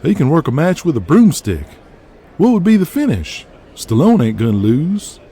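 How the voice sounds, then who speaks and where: A man's voice: fairly high pitch, huge pitch swings, moderate pace, warm tone, pitched above the performer's own voice. A man voicing a brash, pushy male wrestling promoter in an audio drama, urgent and emphatic. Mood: amazed.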